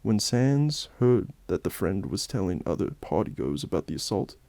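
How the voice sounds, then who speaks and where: A man with a soft, mellow voice delivers a scripted, satirical tale. His delivery is solemn and theatrical, leaning on key phrases. The sound is dry, with very little room in it. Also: sits low, big pitch swings, moderate pace, vocal fry, no room sound. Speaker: host and narrator of a Halloween special. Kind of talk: scripted dramatic narration with satirical asides.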